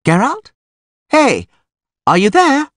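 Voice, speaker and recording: A man voicing a peevish, sharp-tongued older priestess in a storybook, sarcastic and scornful. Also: mid-range voice, sing-song, moderate pace, breathy edge, no room sound, studio quality, voice pushed up.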